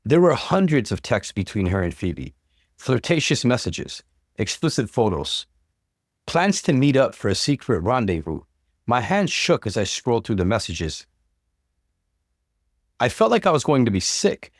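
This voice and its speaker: A man narrates a personal story in a scripted, dramatic style. His medium-pitched voice moves expressively up and down. The sound is dry, with very little room around it. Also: normal speed, no echo. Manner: expressive, acts out dialogue. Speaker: narrator reading relationship stories.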